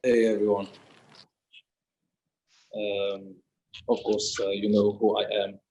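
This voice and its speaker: A brooding masked vigilante, put on by a man in a dark, flat, husky growl. The voice stays deep and barely moves in pitch, and it halts between words. It comes over a call. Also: full character voice, low-quality recording. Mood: gruff. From UAE. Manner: halting, with many fillers.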